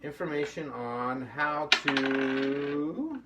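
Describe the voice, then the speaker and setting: A man's voice: a little high, very slow. A middle-aged man hosting a puppetry workshop, talking casually and thinking aloud as he goes. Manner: easygoing, thinks aloud with fillers. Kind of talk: casual instructional talk. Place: home workshop room. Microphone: camera mic at a distance. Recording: slightly distant, faint hiss.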